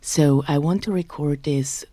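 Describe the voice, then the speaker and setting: A woman in her thirties with a Swiss German accent, hosting a personal podcast in a soft, reflective way and sometimes dropping to a whisper. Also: low-pitched, unhurried, dry recording. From Switzerland. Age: 30s. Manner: soft-spoken, thoughtful, sometimes drops to a whisper. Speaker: podcast host.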